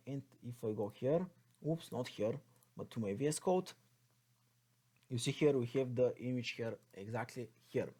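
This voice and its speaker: A man's voice: mid-range voice, mellow. A man with a Bulgarian accent gives an unscripted, step-by-step coding walkthrough, thinking aloud as he types and spells out code. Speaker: programming tutorial instructor.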